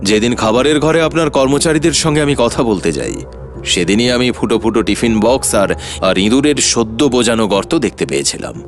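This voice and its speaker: An actor playing a shrewd, confident detective in a cartoon mystery, explaining things in a sly, theatrical way. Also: male voice, mid-range voice, expressive, fairly quick, over music, lightly acted. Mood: confident, explanatory. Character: shrewd, confident male detective revealing the culprit. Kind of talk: animated detective story acting.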